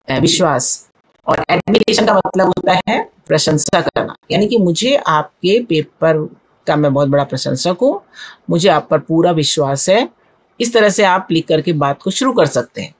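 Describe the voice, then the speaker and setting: A woman with an Indian accent, a native Hindi speaker, teaching an exam-prep lesson in an instructive way, repeating and translating key phrases. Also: fairly low pitch. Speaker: English teacher.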